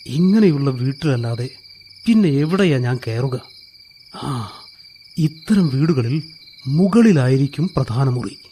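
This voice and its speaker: A man's voice: medium pitch, lively intonation, slow pace, pauses often, warm tone, slight background noise. An actor playing a nervous burglar in a radio play, muttering to himself as he sneaks through a house, then pleading when caught.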